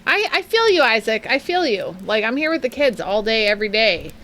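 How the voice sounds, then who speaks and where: A woman in her thirties from Pennsylvania, co-hosting a casual live stream, chatty and quick to laugh as she reads out viewer comments. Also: a little high, big pitch swings, a bit bright, a little hiss or hum. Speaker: co-host of a live stream show.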